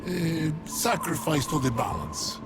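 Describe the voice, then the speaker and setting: An actor playing a weary, plague-consumed old fantasy creature in a video game, solemn and resigned, with halting archaic phrasing and breathy pauses. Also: male voice, fairly high pitch, sing-song. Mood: bartering, measured. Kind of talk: video game character dialogue. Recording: loud music over the voice. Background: loud game music.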